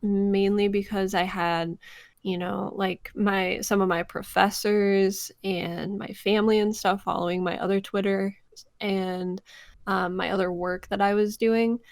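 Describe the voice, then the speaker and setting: Young woman w lots of vocal fry, giggly casual interview chat, super dry sound. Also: medium pitch, a little slow, no room sound. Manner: informal, giggly, animated storytelling. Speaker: voice actor guest.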